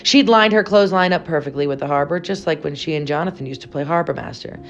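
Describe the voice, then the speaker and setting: A woman with a low voice reads a children's picture book aloud. Her delivery is expressive and storylike, with carefully shaped, dramatic phrases. Music plays behind her. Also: fast.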